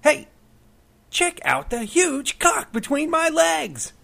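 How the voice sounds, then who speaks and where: A man voicing a goofy young male prankster who crows his boasts, creaky and high. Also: very animated, takes it slowly, fairly bright tone, exaggerated voice. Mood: crowing.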